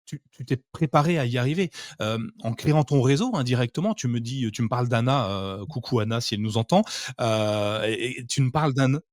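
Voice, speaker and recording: A man's voice: mid-range voice, fairly quick, no echo, studio quality. A man hosting a podcast, asking questions informally with many fillers and restarts. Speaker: podcast host. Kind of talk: casual interview conversation.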